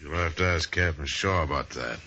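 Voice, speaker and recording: A very low male voice as a loyal frontier trooper on a dull, band-limited vintage radio recording. He talks in rustic, folksy turns, resigned to his lot yet forceful. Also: a little fast, heavily acted. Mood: grimly informative. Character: resigned, loyal cavalry soldier owning up to trouble. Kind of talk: old-time radio western acting.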